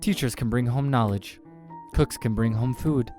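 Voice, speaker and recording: A man's voice: mid-range voice, normal speed, mellow, faint music behind, pitched above the performer's own voice, close to an everyday voice. A man voicing a chatty, doting surgeon boyfriend in a visual novel game, fond and cheerfully patient. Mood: cheerful, proud.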